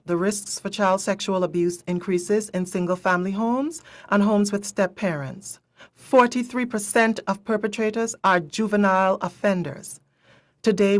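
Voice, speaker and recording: Narrator: female, medium pitch, scripted informational read introducing a radio drama. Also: normal speed.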